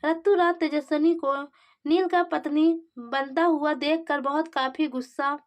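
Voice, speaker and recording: A woman narrates in a distinctly high voice. She reads scripted plot recap in a dramatic storytelling style, as a narrator of serial updates. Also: steady tone, a little slow.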